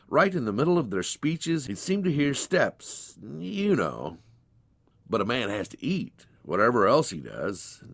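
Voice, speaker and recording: A man narrates a storybook tale in a folksy way. His pitch moves up and down with lively expression. The sound is dry, with very little room in it. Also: dry recording.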